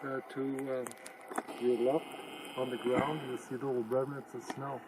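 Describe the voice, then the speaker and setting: A man's voice: medium pitch, little pitch movement, a little slow, very noisy. A man in his sixties chatting casually as a hiking companion outdoors, easygoing and prone to trailing off mid-sentence.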